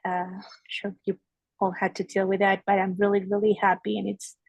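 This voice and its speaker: A woman's voice: medium pitch, completely flat delivery, normal speed, vocal fry, husky, dry recording, rough recording. A woman introducing herself casually as a volunteer on a group video call. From Ecuador.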